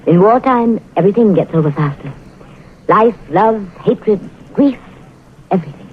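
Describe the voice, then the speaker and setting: A woman's voice: medium pitch, lively intonation, normal speed, lightly acted. Impassioned female spy played by an actress, tinny radio. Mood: amorous. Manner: impassioned, imploring. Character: passionate, ruthless female enemy agent, pleading lover. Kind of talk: 1940s radio acting. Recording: tinny, hissy vintage radio recording.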